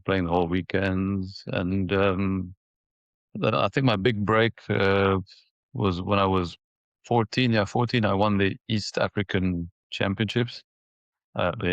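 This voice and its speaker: A man in his forties from Ethiopia and Mauritius reminiscing in a casual interview, haltingly, repeating words as he thinks. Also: low voice, gravelly, no echo, dull audio. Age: 40s. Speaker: interview guest, former professional tennis player.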